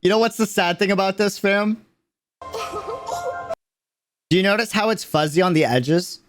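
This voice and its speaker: A man's voice: sits high, normal speed, music in the background. A man giving a casual livestream reaction in an emphatic, slangy way, repeating phrases to drive them home. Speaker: streamer reacting to a game character trailer.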